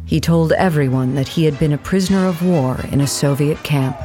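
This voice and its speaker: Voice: mid-range voice, a little fast, dark tone, faint music behind, lightly acted. A narrator reading a scripted documentary account for an audio play in a formal, factual manner.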